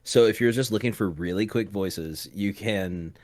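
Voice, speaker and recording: A man explains things in an informal panel chat. His voice is fairly low, recorded dry with hardly any room sound. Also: moderate pace, a little mellow, dry recording. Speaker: panelist, game master. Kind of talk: casual explanation in roundtable chat.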